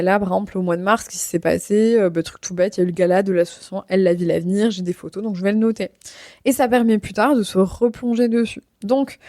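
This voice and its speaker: A woman's voice: medium pitch, dark tone, dry recording, clean studio sound. A young woman hosting a podcast, chatting casually on her own with plenty of fillers and asides. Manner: chatty, lots of fillers and self-deprecating asides. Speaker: podcast host. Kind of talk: casual solo podcast chat.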